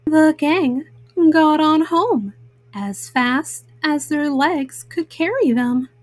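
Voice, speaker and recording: A woman's voice: sits high, lively intonation, slow pace, no echo. A woman storyteller narrating a children's storybook.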